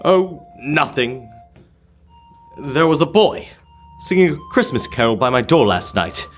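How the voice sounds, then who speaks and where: A man playing a wistful, easily moved old miser in a radio play, halting and exclamatory. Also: mid-range voice, sing-song. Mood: wistful, regretful. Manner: halting, exclamatory, stammering with emotion. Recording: music under the voice. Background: music.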